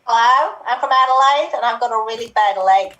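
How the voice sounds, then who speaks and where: A woman's voice: fairly high pitch, brisk, a bit bright, recorded from a distance, dull audio, natural voice. A woman playing a defensive, flustered tax office worker over a call.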